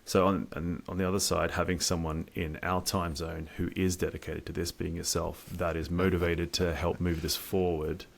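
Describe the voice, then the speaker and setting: A man's voice: deep voice, level tone, moderate pace, gravelly, hardly any pauses. A man speaking casually and informally as a guest in an interview about his engineering work.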